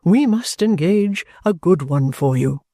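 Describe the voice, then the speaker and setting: Reader playing an earnest, mellow gentleman guardian. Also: mid-range voice, animated, measured pace, no echo, clean studio sound. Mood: insistent. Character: kindly, vehement gentleman guardian, earnest and exasperated.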